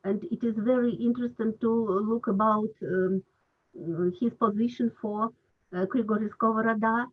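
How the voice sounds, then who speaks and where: Woman hosting an online panel, hesitant English, husky voice on a phone-like line. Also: on the high side, fairly even intonation. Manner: halting non-native English, many fillers. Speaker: round table moderator. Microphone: video call. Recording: husky voice over a tinny call line.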